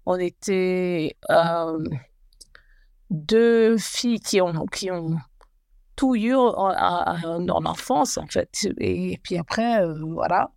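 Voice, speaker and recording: Woman in her 40s, slow halting storytelling, lots of restarts, dry close sound. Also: lowish voice, creaky, darkish tone, no room sound. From Belgium and Rwanda. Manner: hesitant, searching for words, many restarts. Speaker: guest. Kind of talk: personal life story interview.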